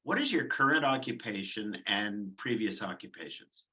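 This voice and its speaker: A man interviewing a guest over a video call, asking prepared questions with a formal, somewhat halting delivery. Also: on the low side, very animated, pushed and bright. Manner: hesitant, repeats words, formal phrasing.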